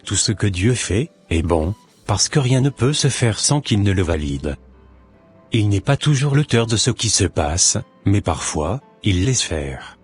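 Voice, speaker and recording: Man doing a cartoon doctor, middle-aged, preachy, deep, husky, soft background music. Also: unhurried, exaggerated voice. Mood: lecturing. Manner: calm, lecturing and preachy. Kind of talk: amateur cartoon voice acting.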